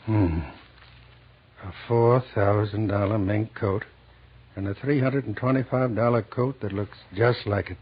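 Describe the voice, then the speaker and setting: An older actor in an old-time radio drama, playing a lonely, money-minded old store owner who talks in a brisk, blustery way. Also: male voice, on the low side, steady tone, slightly rough. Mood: musing. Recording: dull vintage 1940s radio recording.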